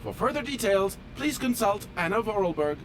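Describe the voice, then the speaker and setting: A man's voice: sits high, big pitch swings, normal speed, fairly bright tone, slight background noise. A male actor voicing a fussy, polite automaton train engineer in a video game, with formal, precise, pedantic diction. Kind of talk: video game character dialogue.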